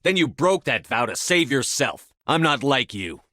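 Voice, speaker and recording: A man's voice: medium pitch, very animated, moderate pace, sharp tone, dry recording, studio quality. A man playing a resolute, authoritative military commander in a video game, speaking in a firm, terse way. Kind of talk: video game voice acting, scripted dialogue lines.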